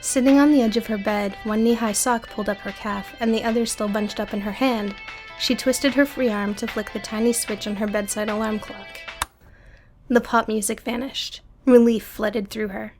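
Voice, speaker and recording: A woman's voice: a little high, steady tone, normal speed, darkish tone, faint music behind. A woman narrating scripted fiction for an audiobook.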